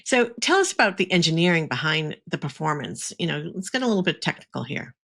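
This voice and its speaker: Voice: mid-range voice, sing-song, quick pace, gravelly, a bit bright. An interviewer hosting a casual chat, asking questions and prompting the guest for explanations. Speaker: interviewer, host.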